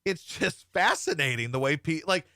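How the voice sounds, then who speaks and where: Male talk host, bright and forceful, blunt and profane opinions, dry studio mic. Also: fairly high pitch, big pitch swings, moderate pace, no room sound. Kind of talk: opinionated show banter.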